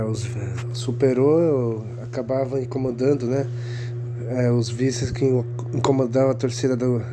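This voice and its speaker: Brazilian man with a cold, rambling. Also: mid-range voice. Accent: Brazilian Portuguese. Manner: rambling, constant tag questions and restarts. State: congested from a cold. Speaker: football history youtuber. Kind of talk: casual solo commentary video.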